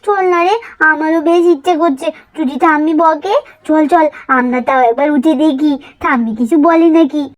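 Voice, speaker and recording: A woman doing a very high-pitched pleading little village girl. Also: normal speed, lightly acted. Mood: excited, eager. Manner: pleading, repeats phrases insistently. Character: little village girls, playful pleading younger sisters. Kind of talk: children's doll-story cartoon voice acting.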